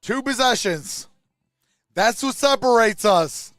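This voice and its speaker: High-voiced man commentating as a fan. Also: expressive, takes it slowly, a bit bright, dry recording, studio quality. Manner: partisan fan, talks to viewers. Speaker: sports livestream host, fan commentator. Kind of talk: live fan reaction and play-by-play commentary.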